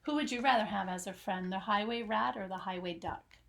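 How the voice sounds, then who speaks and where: A woman's voice: medium pitch, fairly quick, creaky, a bit bright. A woman reading aloud to young children as host of a story time, in a dramatic, singsong storytelling manner. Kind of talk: expressive picture-book read-aloud for young children.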